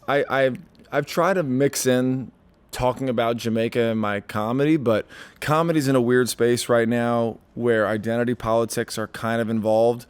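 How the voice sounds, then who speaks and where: Brief: young male comic, smooth clear voice, casual rambling podcast guest. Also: medium pitch, steady tone, moderate pace. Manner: rambling, lots of likes and restarts. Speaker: stand-up comedian, guest.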